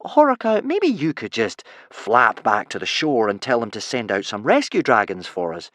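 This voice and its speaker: A man's voice: medium pitch, moderate pace, no echo, voice pushed up, natural voice. A man voicing an anxious young Viking boy who earnestly tries to sound composed in a storybook reading. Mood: urgent. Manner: earnest, forced calm.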